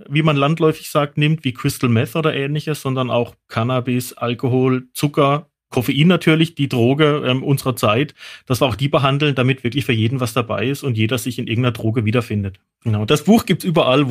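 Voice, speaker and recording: A middle-aged man speaks as a podcast guest with expert knowledge. His pitch is average for a man. He explains and recounts anecdotes in a casual, conversational style. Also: fairly quick. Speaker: guest, toxicologist. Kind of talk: casual interview talk, explaining and telling anecdotes.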